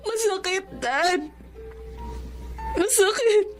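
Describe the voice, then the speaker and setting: A woman's voice: very high-pitched, expressive, drawn-out words, full character voice. An actress playing a heartbroken, devout woman psychiatrist and mother in a Filipino radio drama, with emotive delivery. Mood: anguished, tearful. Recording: drama music underneath.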